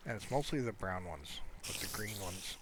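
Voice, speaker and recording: Low-voiced man in casual gameplay commentary. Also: animated, moderate pace, heavy background noise. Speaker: gamer showing a Minecraft build.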